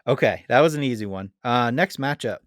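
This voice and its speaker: A man's voice: mid-range voice, lively intonation, brisk, dry recording, clean studio sound. A man hosting a podcast, chatting casually and informally as he debates. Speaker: podcast host.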